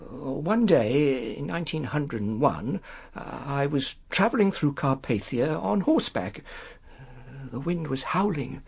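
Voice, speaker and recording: A man's voice: medium pitch, lively intonation, gravelly, a little mellow, husky, full character voice. An actor playing a frail, nervous older man with a hesitant, stammering delivery in a radio drama. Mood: haunted, dramatic. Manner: hesitant, stammering, halting storyteller. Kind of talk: British radio drama acting.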